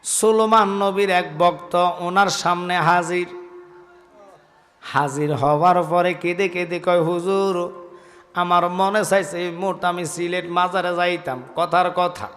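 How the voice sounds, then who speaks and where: A Bangladeshi man preaching an Islamic sermon, telling stories in an impassioned, declamatory way with drawn-out, chanted phrases. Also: high voice, steady tone, soft background music. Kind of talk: Bengali waz sermon, dramatic storytelling. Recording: long reverb from loudspeaker system.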